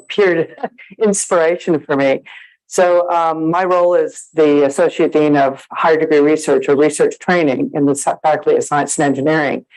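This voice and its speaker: A woman's voice: deep voice, a little mellow, low-quality recording. A woman from the United States, an associate dean, giving a friendly conference presentation with many fillers. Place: conference hall.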